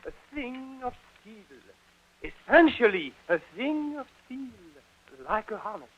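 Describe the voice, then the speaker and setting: A man's voice: very high voice, sing-song, a little slow, heavily acted. A man playing an obsequious, fussy Parisian dancing master with a French accent in an old radio drama, courteous and hesitant. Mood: ingratiating. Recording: noisy, dull old radio recording.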